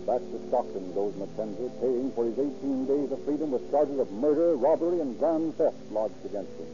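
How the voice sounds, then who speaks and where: A man's voice: mid-range voice, lively intonation, moderate pace, warm tone. A man reading scripted narration as a 1930s radio announcer, with a formal, dramatic delivery. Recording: muffled 1930s radio recording.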